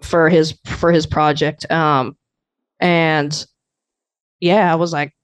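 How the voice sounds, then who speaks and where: A man in his twenties from Arizona, a guest producer and emcee with a fairly high voice, chatting casually and gratefully on a podcast. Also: flat delivery, takes it slowly, clean tone, no echo. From Arizona, USA. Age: 20s. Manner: laid-back, rambling, grateful.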